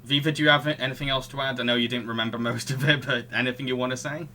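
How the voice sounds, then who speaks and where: A man's voice: medium pitch, steady tone, racing, sharp tone, slight background noise. A young adult man hosting a film discussion podcast, talking through plots and opinions. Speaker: podcast host. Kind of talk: film discussion, partly read-out plot summary.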